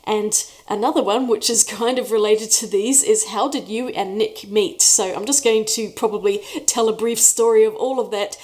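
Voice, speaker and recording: A woman's voice: medium pitch, steady tone, normal speed, few pauses, a little mellow. A woman, chatting casually in a Q&A vlog and laughing often as she tells stories. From New Zealand. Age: 40s. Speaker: art YouTuber. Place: room away from her usual studio.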